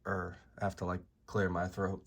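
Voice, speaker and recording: A man with a very deep, hoarse voice, rough from being sick and having lost it days ago. He rants straight to camera for his card-game channel, blunt and exasperated, cursing freely as he argues his point. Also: completely flat delivery, a little slow. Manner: blunt, profane, exasperated ranting. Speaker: trading card game YouTuber. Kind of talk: ranting video commentary.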